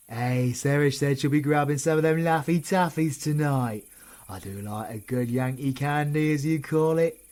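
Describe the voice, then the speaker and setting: A man's voice: medium pitch, fairly bright tone, breathy edge, full character voice. A man with a British accent playing a menacing, cocky supervillain in an audio roleplay, taunting and swearing in slangy speech. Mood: brash, upbeat. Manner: taunting, slangy, sweary, often hissing in whispers. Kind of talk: ASMR audio roleplay acting.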